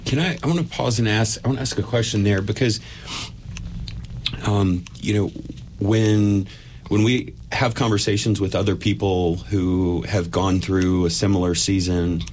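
A man's voice: fairly low pitch, steady tone, normal speed, a little mellow, a little hiss or hum. A man hosting a conversational interview, putting his questions in thoughtful, hesitant phrases. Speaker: interviewer, host.

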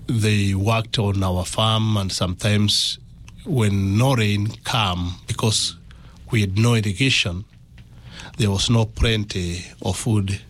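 A man with a Kenyan accent giving a personal testimony as an interview guest, in halting, deliberate phrases. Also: fairly low pitch, a little hiss or hum.

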